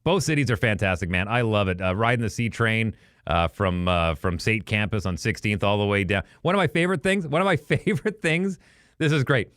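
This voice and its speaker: A man's voice: lowish voice, animated, fairly bright tone, no room sound, studio quality. A man co-hosting a podcast, chatting casually and reminiscing in a rambling way. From Western Canada. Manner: rambling, reminiscing, quick asides. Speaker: podcast co-host. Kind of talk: casual sports chat. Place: apartment recording studio.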